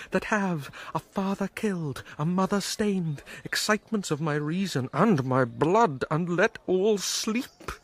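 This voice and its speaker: Brooding young prince, higher-pitched, theatrical, courteous yet anguished, Shakespearean radio drama. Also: male voice.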